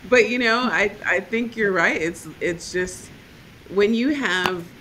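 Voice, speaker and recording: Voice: medium pitch, slow, bright, forceful tone, a little hiss or hum, poor audio. A podcast co-host chatting casually and enthusiastically, rambling and restarting as thoughts come. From family from Trinidad and Tobago and Costa Rica. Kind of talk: casual interview chat.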